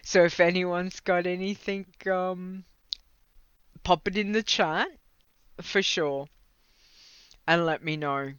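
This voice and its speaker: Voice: medium pitch, fairly even intonation, takes it slowly, pauses often, a bit bright, clear, resonant tone, no echo. An Australian livestream host chatting casually with viewers, rambling, laughing often and stumbling over words. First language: English. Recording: slightly dull lo-fi mic, dry.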